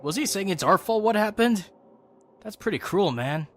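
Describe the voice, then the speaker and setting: Man as indignant teenage guy, highish lively voice. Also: normal speed, background noise. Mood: puzzled, indignant. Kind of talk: anime game fandub acting. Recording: music under the voice.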